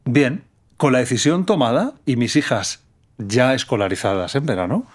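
A man's voice: medium pitch, expressive, normal speed. Reflective Spanish man hosting a chat. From Menorca, Spain. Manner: reflective, tells personal stories at length. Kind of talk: conversational interview.

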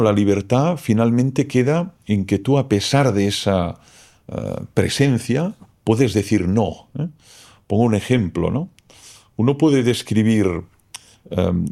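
A man's voice: on the low side, gravelly, warm tone. A man whose first language is Catalan, a philosopher guest talking through ideas in a thoughtful, explanatory way and often ending phrases with a questioning tag. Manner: thoughtful, explanatory, keeps tagging "¿no?". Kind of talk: reflective interview talk.

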